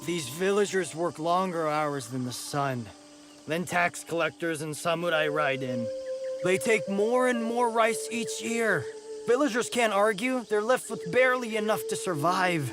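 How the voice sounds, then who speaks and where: A cocky rogue thief in a video game, with a fairly high male voice. He is flirtatious and irreverent, swaggering and crude with a bitter edge, and music plays clearly behind him. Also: unhurried. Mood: bitter, indignant. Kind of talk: dramatic video game dialogue.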